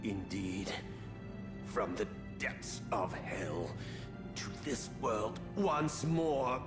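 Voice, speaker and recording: An actor playing a grandiose, arrogant villain emperor in a video game, with a pompous, deliberate, mocking delivery. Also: male voice, fairly low pitch, big pitch swings, exaggerated voice. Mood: grandiose defiance. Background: orchestral game music.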